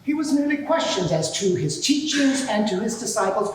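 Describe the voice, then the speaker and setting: A man playing an indignant, self-righteous high priest giving pompous, deliberate testimony in a dramatised courtroom inquiry. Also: expressive. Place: large courtroom before a live audience. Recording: very echoey hall, distant mic.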